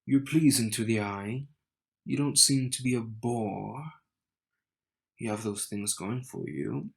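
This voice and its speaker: Slow-talking, teasing young groom, played by a man. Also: fairly low pitch, creaky, slightly rough, heavily acted. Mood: cocky, intrigued. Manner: smug, teasing, unhurried delivery with dramatic pauses. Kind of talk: romantic audio roleplay addressed to the listener.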